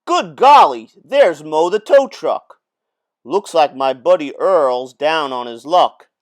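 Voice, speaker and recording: A man's voice: on the high side, animated, slow. A man voicing a friendly, boastful big truck in a folksy storytelling manner for a children's storybook read-aloud. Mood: excited.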